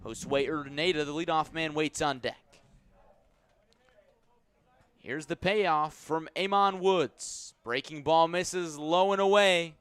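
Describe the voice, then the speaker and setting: A man calling a baseball game live as a radio play-by-play announcer, with measured, descriptive delivery that lifts when the action picks up. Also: fairly high pitch, a bit bright, smooth. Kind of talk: live sports commentary.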